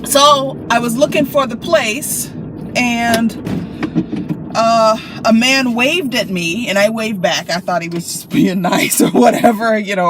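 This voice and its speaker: An American woman chatting casually to camera in an animated, playful, expressive way, like telling stories to friends. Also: on the high side. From Chicago, United States. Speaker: vlogger and actress. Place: inside a car. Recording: clear car and traffic noise.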